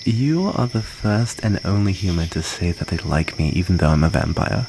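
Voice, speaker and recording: A man playing a shy, wounded, gentle young outcast vampire in an ASMR comfort roleplay, speaking in a halting, soft-hearted way. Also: deep voice, expressive. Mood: hurt, vulnerable. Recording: noisy, cricket ambience behind.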